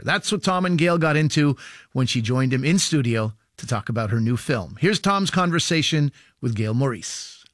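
A man's voice: mid-range voice, no echo, studio quality. Male radio host reading an intro. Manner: smooth, read from script. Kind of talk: scripted intro narration.

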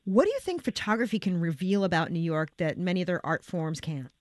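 A woman hosting a radio interview, delivering prepared introductions and questions in a measured, articulate way. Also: on the low side, lively intonation, a little mellow.

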